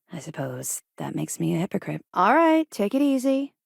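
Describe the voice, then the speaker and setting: A woman's voice: lowish voice, creaky, slightly rough, dry recording, heavily acted. A woman voicing a stern, seasoned space-navy officer and reformed ex-pirate in a video game, with a clipped, authoritative, dry delivery. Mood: urgent battle shouts. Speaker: second-in-command military officer in a sci-fi game.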